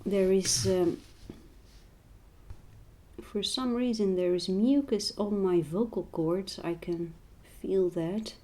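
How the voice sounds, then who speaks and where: A woman with a slightly hoarse voice, talking gently and unhurriedly as she narrates a painting tutorial, sometimes dropping to a near whisper. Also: medium pitch. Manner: soft-spoken, unhurried, drifting into quiet near-whispers. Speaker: art tutorial host and voice teacher.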